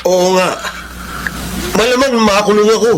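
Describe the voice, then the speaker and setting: An actor playing a brazen, evasive older brother in a radio melodrama, exclaiming and arguing. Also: male voice, high-pitched, unhurried, heavy background noise.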